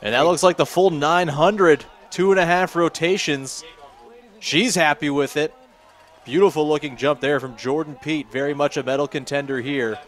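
A man's voice: on the high side, moderate pace. A man doing live play-by-play commentary at a ski event, steadily reading out scores and tricks. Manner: steady, informative, reads out scores.

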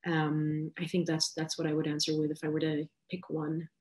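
A woman in her thirties answering questions as a guest on a video call, halting and soft, with many restarts. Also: deep voice, completely flat delivery. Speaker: guest speaker. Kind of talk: casual interview answers. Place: small room indoors.

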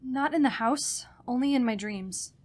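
A woman's voice: sits high, a little slow, a little mellow. An actress playing a frightened young woman troubled by nightmares in a radio horror drama. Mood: haunted, fearful.

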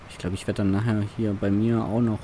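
A man's voice: fairly low pitch, level tone, brisk, dark tone, slight background noise. A young man chatting casually while playing a farming roleplay, giving loose commentary. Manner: chatty, laughs between phone calls. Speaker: let's play roleplay host.

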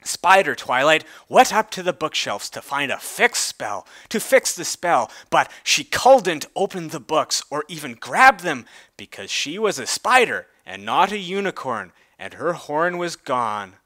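Guy doing a mock dramatic story reading, slow and really animated, kinda theatrical. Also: fairly high pitch, dry recording. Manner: theatrical, mock-serious delivery of clumsy prose. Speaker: reader.

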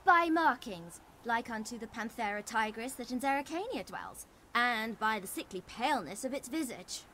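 A woman's voice: a little high, lively intonation, normal speed, bright, forceful tone, a little hiss or hum. A woman voicing a precocious, mischievous young girl pupil in a fantasy video game, coy and formally reciting. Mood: precise, reciting.

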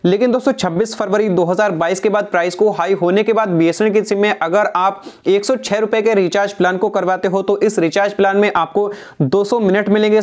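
A man's voice: high voice, lo-fi sound. A young man talking to the camera about phone recharge plans, often calling his viewers "friends", with a clip-on mic in a small indoor room. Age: young adult. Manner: repetitive, keeps saying "friends", steady explanatory delivery. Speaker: tech channel host. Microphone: clip-on lapel mic.

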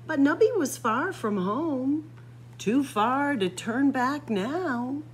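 A woman reading a children's picture book aloud expressively, with dramatic pauses and drawn-out emphasis. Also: sits high, expressive, slight background noise. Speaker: library story-time reader. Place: library room with bookshelves.

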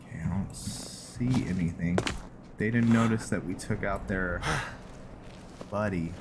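Slow, deep-voiced man thinking aloud. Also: background noise. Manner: low-key, thinking aloud. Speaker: gameplay host. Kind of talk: casual video game commentary. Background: music.

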